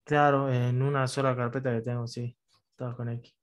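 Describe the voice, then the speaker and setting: A man's voice: medium pitch, level tone, normal speed. A man with an Argentine Spanish accent, a student on a video call, talking hesitantly with many fillers.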